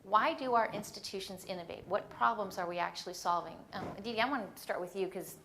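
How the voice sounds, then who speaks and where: A woman moderating a conference panel on stage, energetic and encouraging, with a few fillers. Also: mid-range voice, quick pace, slight background noise. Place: conference stage before an audience.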